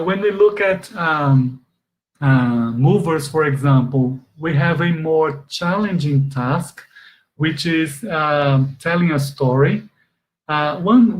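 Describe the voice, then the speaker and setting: Portuguese-native guy giving an online teacher training talk, bit high pitched, pauses and ums, webcam sound. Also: rough recording. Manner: explanatory, many fillers and pauses. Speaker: exam trainer. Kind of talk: webinar presentation. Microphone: webcam video call.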